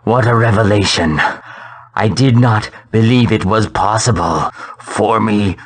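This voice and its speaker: Slow, low, haughty villain. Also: male voice. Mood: proud, stung. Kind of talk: dramatic game voice acting.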